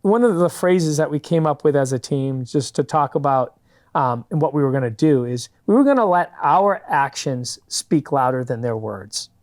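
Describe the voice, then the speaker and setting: A man's voice: on the high side, lively intonation, normal speed, darkish tone. Earnest man explaining steadily. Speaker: guest, fundraising company founder. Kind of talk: studio interview answers. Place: public TV studio.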